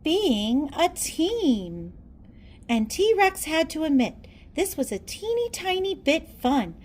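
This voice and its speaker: High, very animated woman storyteller. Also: slight background noise. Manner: theatrical, deliberate storytelling. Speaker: storybook reader. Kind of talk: children's read-aloud narration.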